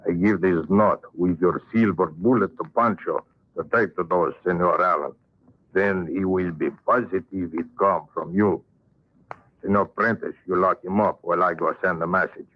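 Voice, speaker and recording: A man's voice: low-pitched, fairly even intonation. A man playing a gloating, mock-polite Spanish villain with a Spanish accent in an old-time radio western. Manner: slow, mock-polite, chuckling menace. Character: gloating Spanish villain, a menacing Don who relishes torture. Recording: muffled vintage radio recording.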